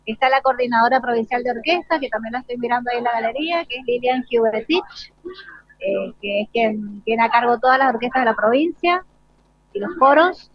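A woman with an Argentine accent gives an unscripted interview as a school director. Her voice sits a little high, and the recording is dry and somewhat dull, like a lo-fi live broadcast. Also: no room sound.

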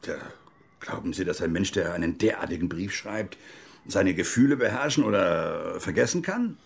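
An older man, an actor playing an embittered, stiff old army major in a radio play, with deliberate, biting delivery. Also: low voice, lively intonation, vocal fry, husky, full character voice. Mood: bitter. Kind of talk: radio drama dialogue, letter reading.

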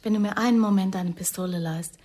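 An actress playing a brittle, defiant young woman recruited as an agent in a radio play, husky and dryly ironic. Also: medium pitch, fast talker, mellow, lightly acted. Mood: bitterly sarcastic. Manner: dry, biting irony. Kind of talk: German radio play acting.

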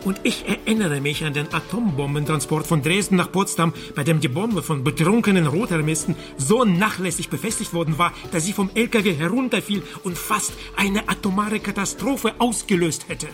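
An actor playing a wry Soviet ex-KGB agent turned private detective in a radio drama, dry, ironic and understated. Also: male voice, sits high, normal speed, hardly any pauses, a bit bright, soft background music. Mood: sly, haggling. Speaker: narrator and lead character. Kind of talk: radio drama acting with first-person narration.

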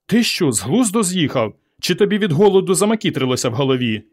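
A man's voice: fairly high pitch, very animated, fairly quick, voice pushed up. A man voicing an angry village mother in a story, scolding and wailing with indignation. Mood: taken aback.

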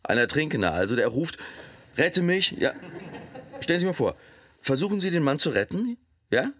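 A forceful orator in a radio play, pressing a moral point on his listeners. His voice is husky and swings animatedly, in a very dry, close recording. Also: fairly high pitch, sing-song, normal speed, dry recording. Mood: insistent, urgent. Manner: insistent, rhetorical, addressing listeners directly. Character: forceful man arguing a hard moral case in a speech. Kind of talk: rhetorical speech, radio drama acting.

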